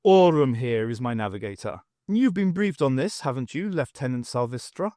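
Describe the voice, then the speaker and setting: Man playing a calm middle-aged starship captain. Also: medium pitch, moderate pace, no room sound, studio quality, lightly acted. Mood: matter-of-fact.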